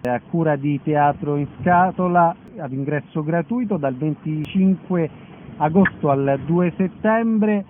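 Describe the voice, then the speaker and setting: A man hosts a radio interview in a fairly high voice. The sound is narrow and phone-like, with faint noise behind him. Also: unhurried. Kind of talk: broadcast interview.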